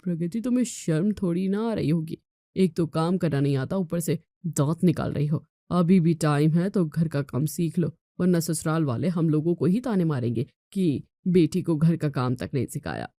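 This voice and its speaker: A woman's voice: low voice, moderate pace, mellow, dry recording, studio quality, natural voice. A woman voicing an exasperated young man, a scolding elder brother in a moral story, with a stern, nagging, sarcastic manner.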